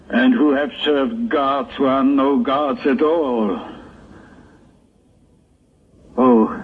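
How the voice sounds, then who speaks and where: An actor playing an aggrieved, self-pitying Hebrew prophet in a 1960s religious audio drama, with theatrical, drawn-out sighs and moans. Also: male voice, mid-range voice, husky. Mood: weary, bitter. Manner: theatrical, drawn-out, sighing and moaning monologue. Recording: tinny, noisy 1960s tape recording.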